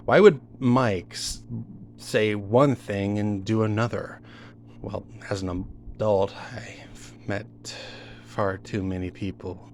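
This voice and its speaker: A man's voice: lowish voice, slight background noise. A man narrating a first-person horror story in a conversational, hesitant, reminiscing way. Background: music under the voice.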